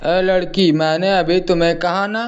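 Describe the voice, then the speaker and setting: A man's voice: sits high, level tone, moderate pace, clean tone, highs cut off, natural voice. A man voicing a haughty, grumpy magical tree with a scornful, dismissive way of talking in a cartoon story. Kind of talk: Hindi cartoon moral-story acting.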